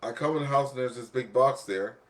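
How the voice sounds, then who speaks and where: A man's voice: medium pitch, a little fast, poor audio. A man hosting an amateur radio net in a casual, rambling, chatty way, often repeating himself. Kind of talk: casual ham radio chat.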